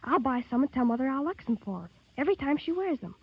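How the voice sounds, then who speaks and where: A woman's voice: high voice, big pitch swings, quick pace, slightly rough, dry recording, exaggerated voice. A woman playing a sweet, earnest girl in a 1930s radio advertisement. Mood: confiding, eager. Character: earnest, sweet girl seeking gift advice. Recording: muffled 1930s radio broadcast.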